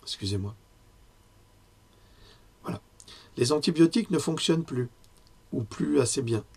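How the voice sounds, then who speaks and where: A hoarse man giving a lecture in a conversational way, often pausing and correcting himself. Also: mid-range voice, lively intonation, dark tone. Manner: conversational, with frequent fillers and self-corrections. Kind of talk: health lecture with personal asides.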